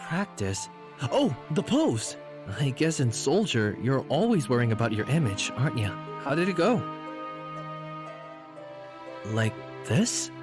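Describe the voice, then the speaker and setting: A man's voice: medium pitch, big pitch swings, unhurried, pauses often, music in the background. A man playing a chatty, nervous rank-and-file guard in a video game. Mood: bored, playful.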